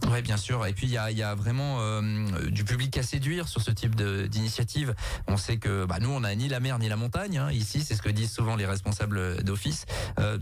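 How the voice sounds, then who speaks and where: A man's voice: lowish voice, a little mellow, dry recording. A man with a French accent hosting a conversational local radio interview.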